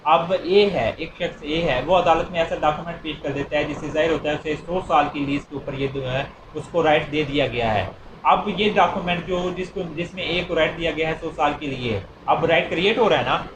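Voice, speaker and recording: A man's voice: a little high, moderate pace. Echoey, noisy recording of Pakistani man lecturing. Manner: didactic, repetitive step-by-step explaining. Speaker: law lecturer. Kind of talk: explanatory lecture with worked examples. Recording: echoey room with clear background noise.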